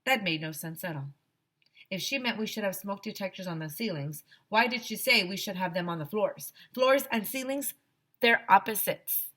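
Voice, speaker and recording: A woman reads a children's chapter book aloud like a teacher at story time. Her voice is bright and swings widely in pitch. She is expressive and acts out the story as she goes. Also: normal speed. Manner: expressive, dramatizes the dialogue. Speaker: teacher reading aloud to students.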